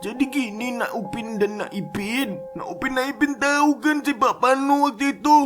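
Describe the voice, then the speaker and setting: A woman's voice: a little high, normal speed, lightly acted. A woman voicing an earnest cartoon man who leads and instructs children against a ghost. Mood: earnest, reassuring. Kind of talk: cartoon voice acting. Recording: music behind the voice.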